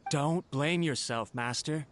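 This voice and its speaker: A man's voice: fairly high pitch, slow, fairly bright tone, slight background noise. An actor playing a loyal, anxious young male servant, deferential and earnest, in an anime game. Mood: worried. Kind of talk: anime video game dialogue.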